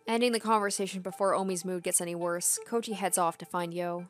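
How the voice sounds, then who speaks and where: A woman's voice: fairly low pitch, steady tone, quick pace, soft background music. A young adult woman game streamer reading story narration aloud and adding casual commentary.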